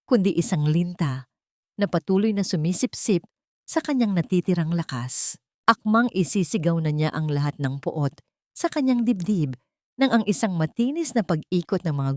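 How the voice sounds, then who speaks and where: A woman's voice: lowish voice, a little mellow, no echo, studio quality. A woman narrator reading a scripted, dramatic romance story for an audio drama.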